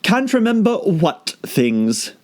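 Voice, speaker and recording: A man voicing a haughty, languid caterpillar in an audiobook, drawling slowly and speaking with curt, dismissive contempt. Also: high voice, very animated, darkish tone, pitched above the performer's own voice, exaggerated voice. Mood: condescending. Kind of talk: audiobook character voice.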